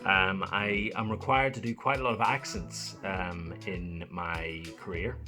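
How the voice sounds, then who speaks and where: A man's voice: low-pitched, measured pace, music in the background. A young Irish man, a voice actor, chatting playfully and a little self-deprecatingly to camera. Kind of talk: casual chat demonstrating accents.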